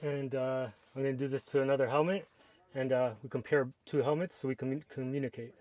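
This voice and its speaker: A man's voice: mid-range voice, quick pace. A man casually narrating a product review, explaining each step as he works with his hands. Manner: relaxed, step-by-step explaining while working with hands.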